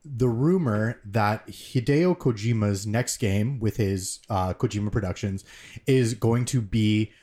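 A man's voice: fairly low pitch, unhurried, mellow. A man co-hosting a casual gaming podcast, explaining at length with lots of fillers and restarts. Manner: rambling, filler-heavy explanations. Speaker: podcast co-host. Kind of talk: casual chat.

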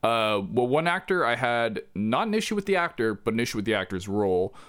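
A man's voice: mid-range voice, sing-song, fairly quick, fairly bright tone, clear, resonant tone. A man co-hosting a podcast, chatting casually and agreeably, with casual swearing. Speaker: podcast co-host. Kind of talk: casual chat.

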